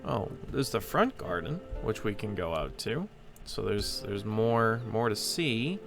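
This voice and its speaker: A man hosts a casual let's-play, thinking out loud as he goes. His voice is fairly low with a gravelly fry, and game music plays clearly behind him. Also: sing-song, unhurried. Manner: musing aloud, thinking through puzzles. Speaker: gaming host, player.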